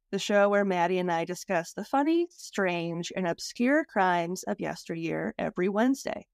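A woman's voice: medium pitch, gravelly, no room sound. Woman podcast host, close dry sound. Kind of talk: casual hosting and chat.

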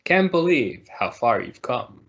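A man reads a picture book aloud for young children. His mid-pitched voice rises and falls in a very animated way, heard through dull, lo-fi video-call audio. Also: unhurried, creaky. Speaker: storybook reader.

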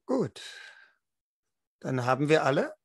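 Guy running a pen and paper game, kinda high voice, slow talker, no room sound. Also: sing-song, breathy edge, no room sound, studio quality. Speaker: game master. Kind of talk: explaining and narrating a tabletop role-playing game. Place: indoor room at home, online video call.

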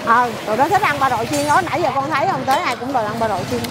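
A woman's voice: high voice, expressive, very fast, very noisy. A middle-aged woman with a Southern Vietnamese accent who runs a market food stall, chatting casually and listing her dishes one after another. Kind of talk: casual interview chat.

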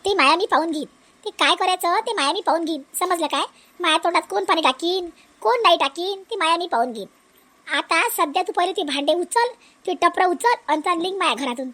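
A woman's voice: extremely high pitch, expressive, a little fast, fairly bright tone. A woman playing a domineering, spiteful elderly mother-in-law in a village comedy cartoon, scolding in a Vidarbha Marathi accent. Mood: angry, dismissive. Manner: scolding, commanding, repetitive.

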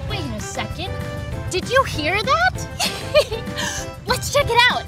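A young woman hosts an educational show for children with very high, swooping pitch. She speaks slowly and with exaggerated, exclamatory expression. Music plays behind her voice. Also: sing-song. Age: young adult. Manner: exaggerated, sing-song, exclamatory delivery with frequent giggles. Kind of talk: educational kids' show presenting. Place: outdoors at a farm with animal pens.

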